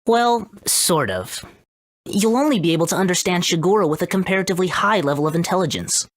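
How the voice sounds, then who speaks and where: Lively, confident boy genius. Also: on the low side, fairly quick, no echo, studio quality. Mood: explanatory. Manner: self-assured, matter-of-fact, bossy. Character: confident brainy boy genius, head researcher. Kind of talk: video game voice acting.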